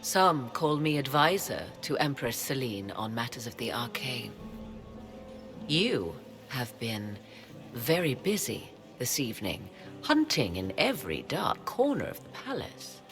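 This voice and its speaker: A woman's voice: rumbling low, big pitch swings, measured pace, exaggerated voice. An actress playing a sly, theatrical woman mage at a royal court in a fantasy game, arch and teasing in her formality. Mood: arch, mysterious. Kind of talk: video game fantasy acting. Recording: long hall reverb, music behind.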